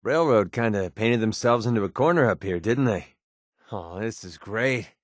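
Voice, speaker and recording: A man's voice: fairly low pitch, lively intonation, moderate pace, no echo, studio quality, natural voice. An actor playing a sardonic young male mercenary in a video game, with an American accent and a casual, grumbling way of talking. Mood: wry. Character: wry young male mercenary sniper in a post-apocalyptic wasteland. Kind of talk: video game companion dialogue.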